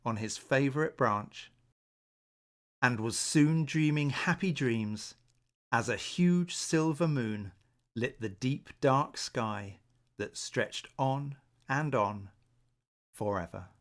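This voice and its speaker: Guy reading a kids bedtime story, really slow and gentle, careful narrator voice. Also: mid-range voice. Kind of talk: bedtime children's story read aloud.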